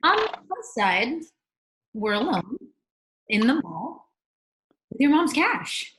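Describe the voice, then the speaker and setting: A woman playing a sarcastic, excitable middle school best friend in a comedic table read, wry and emphatic. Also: sits high, takes it slowly, noisy background, exaggerated voice.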